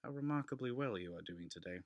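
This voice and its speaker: A man's voice: medium pitch, expressive, quick pace, dry recording, highs cut off, pitched above the performer's own voice, lightly acted. A man playing a scheming Italian nobleman in a dramatic audiobook reading, courteous and insinuating with feigned concern. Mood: sly, courteous. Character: scheming, falsely solicitous Italian nobleman, outwardly polite.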